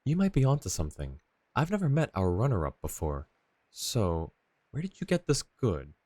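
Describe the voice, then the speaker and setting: A male game streamer chats casually over his play. His voice is low and animated, with wide swings in pitch, recorded dry and close to the mic. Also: moderate pace, no room sound. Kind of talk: casual live game commentary.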